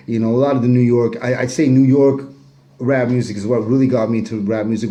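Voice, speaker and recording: A middle-aged man talks in a low, mellow voice. He rambles loosely, with many "you knows" and casual swearing. He speaks from his home kitchen. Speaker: rapper interviewee. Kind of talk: casual self-recorded interview.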